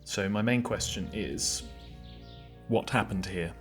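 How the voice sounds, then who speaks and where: A man's voice: lowish voice, slow pace. A man playing a tabletop roleplaying game, describing his character's actions in dramatic third person. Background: faint background music.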